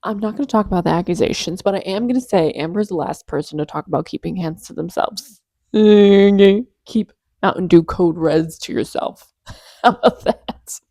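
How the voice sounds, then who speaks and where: A woman's voice: fairly low pitch, moderate pace, mellow, no echo, clean studio sound. A woman giving sarcastic reaction commentary with mocking asides.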